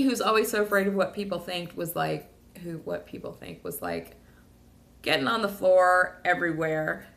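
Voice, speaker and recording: A woman sharing a rambling, emotional personal video diary, a little hoarse from talking. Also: fairly low pitch, a bit bright. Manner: rambling, emotional, many fillers and restarts. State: slightly hoarse. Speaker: vlogger.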